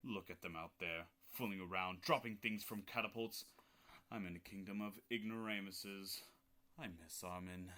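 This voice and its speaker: Young man as a very deep, petulant king. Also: steady tone, normal speed, bright, forceful tone. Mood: weary disdain. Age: teenager. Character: petulant, self-pitying king, bickering and resentful. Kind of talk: radio play acting.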